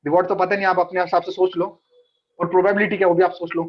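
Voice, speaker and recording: भारतीय लहजे वाला एक पुरुष ट्रेडिंग मेंटर, जो ऑनलाइन कॉल पर हिंदी और अंग्रेज़ी मिलाकर, बातें दोहराते हुए बातचीत के अंदाज़ में क्लास लेता है।. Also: male voice, high-pitched, level tone, racing. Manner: chatty, mixes Hindi and English, repeats points. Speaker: trading mentor. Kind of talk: casual online trading class. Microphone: video call. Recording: dull lo-fi call audio.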